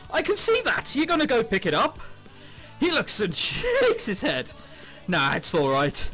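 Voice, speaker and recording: A man doing let's play commentary, reading visual novel text aloud in an excitable, giggly way with exaggerated reactions. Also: sits high, low-quality recording. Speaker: video game commentator. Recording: background music under the voice. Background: game music.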